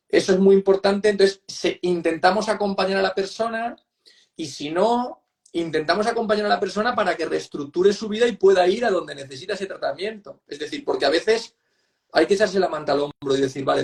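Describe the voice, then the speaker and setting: Creaky, higher-voiced Spanish man. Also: brisk. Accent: Spain. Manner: emphatic, repeats points, seeks agreement with tag questions. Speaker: guest psychotherapist. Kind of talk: conversational expert explanation in an online interview.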